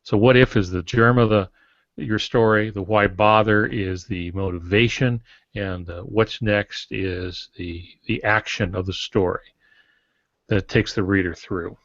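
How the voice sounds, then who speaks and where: An older man co-hosting a podcast, explaining things in a deliberate, teacherly way. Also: fairly low pitch, steady tone, a bit bright. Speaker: podcast co-host and novelist. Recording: dull, roomy lo-fi audio.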